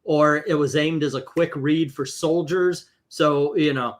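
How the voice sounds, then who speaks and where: A man in his fifties hosting a casual comic book chat, wry and quick to mock clunky dialogue. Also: a little high, fairly even intonation. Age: 50s. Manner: wry, reads comic dialogue aloud mockingly. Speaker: comic book podcast host.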